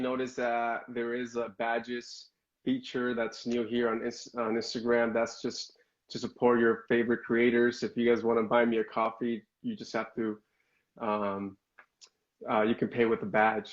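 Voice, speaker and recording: A man's voice: mid-range voice, lo-fi sound. A man hosting a casual live stream, speaking evenly with many fillers. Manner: even-toned, halting with fillers. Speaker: host.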